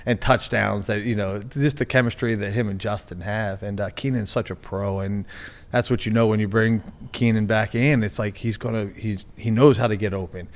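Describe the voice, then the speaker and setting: A man's voice: fairly low pitch, steady tone, normal speed. A man being interviewed as a sports team's general manager, talking casually with many fillers and restarts. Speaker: NFL general manager, guest.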